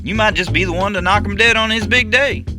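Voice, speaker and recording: Man voicing a high-pitched folksy, slangy hustler. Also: over music, close to an everyday voice. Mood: delighted. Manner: folksy, slangy, drawn-out exclamations. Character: Velvet T, wary drawling hustler, slangy and folksy.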